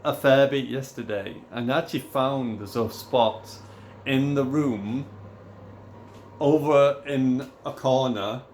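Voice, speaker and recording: A man, hoarse from losing his voice, talking casually and at length to his viewers as a gaming YouTuber. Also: medium pitch, slow pace, a little mellow, slight background noise. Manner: rambling, repeats "obviously" and restarts often. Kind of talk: casual rambling vlog talk.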